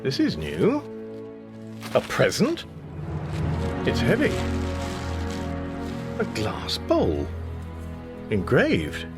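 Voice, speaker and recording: A man's voice: medium pitch, huge pitch swings, very slow, pauses often, music in the background, heavily acted. An actor playing a mild, bemused man muttering wryly to himself in a radio drama monologue. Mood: musing. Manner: wry, musing, muttering to himself.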